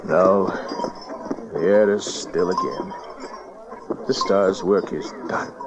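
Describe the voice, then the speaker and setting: A man's voice: deep voice, steady tone, takes it slowly, very noisy. A man playing a wise, grave traveller from the East in a dramatized audio Bible, solemn and dramatic. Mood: solemn, urgent. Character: wise, grave eastern traveller, a magus leader. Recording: dull cassette, crowded background.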